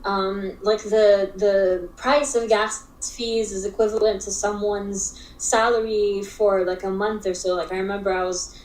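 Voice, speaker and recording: A young woman from Africa talks as an interview guest, her sentences stopping and restarting around frequent fillers. Her pitch sits in an ordinary middle range. The recording carries a noticeable room echo. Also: level tone, a little mellow. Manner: halting, filler-heavy speech with frequent restarts. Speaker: interview guest, digital art expert. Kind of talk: casual conversational interview. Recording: roomy echo.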